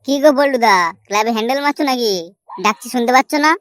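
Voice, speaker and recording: An actor voicing a brash, boastful young village troublemaker in a comedy cartoon, loud and scolding. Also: medium pitch, very fast, noisy background, natural voice. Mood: calling out.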